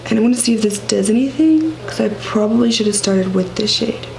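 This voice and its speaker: A woman who is sick and has a raspy voice, chatting casually through a makeup tutorial with lots of fillers and rambling asides. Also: fairly high pitch, animated, brisk, a little mellow, slight background noise, rough recording. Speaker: beauty vlogger.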